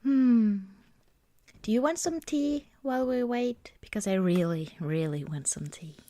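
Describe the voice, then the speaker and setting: Slow, soft-spoken woman in intimate ASMR roleplay. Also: on the high side. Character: affectionate, cozy girlfriend baking at Christmas. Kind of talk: ASMR girlfriend roleplay, intimate casual chat.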